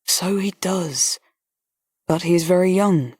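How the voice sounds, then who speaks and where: Woman voicing a calm, kindly colonel. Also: on the low side, slow, slightly rough, dry recording, studio quality, exaggerated voice. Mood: firm, kind. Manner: pleasant, steady, gently frank. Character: kind, calm older male colonel. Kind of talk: audiobook character voice.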